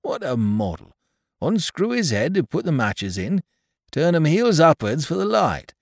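Man voicing a meek old toymaker, soft hushed voice, raised pitch, bit gravelly. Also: very animated, a little slow, no echo, clean studio sound, full character voice. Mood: hushed wonder.

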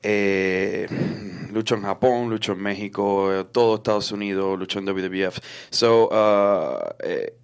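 A man's voice: fairly low pitch, a little slow, gravelly, clean tone. A young man from Puerto Rico with a Puerto Rican accent, a guest chatting casually in an interview and slipping English words into his Spanish. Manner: mixes English words into Spanish, many fillers. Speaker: guest wrestler.

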